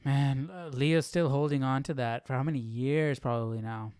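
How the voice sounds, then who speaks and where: A man's voice: mid-range voice, fairly even intonation, moderate pace, a little mellow, clear, resonant tone, faint music behind. A man hosting a casual live Bible study stream, thinking aloud in an informal, hesitant way.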